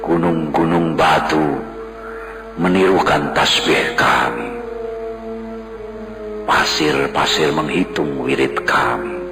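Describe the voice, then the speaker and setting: A man giving a solemn, contemplative poetry recital in a slow, devotional manner. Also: rumbling low, fairly even intonation. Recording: roomy echo with music clearly underneath.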